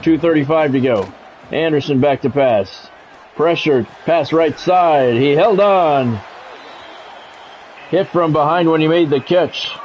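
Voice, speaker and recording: A man's voice: on the high side, slow, some chatter behind, a little hiss or hum. A middle-aged or older man giving live play-by-play of a football video game in short, clipped announcer phrases. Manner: announcer-like, short clipped phrases, excited calls on big plays.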